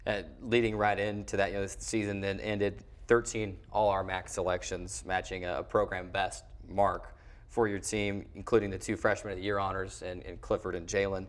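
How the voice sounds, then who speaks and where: A man's voice: sits low, flat delivery, moderate pace. A man with an American accent hosting a sports show in a conversational broadcast style. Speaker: sports show host, play-by-play announcer.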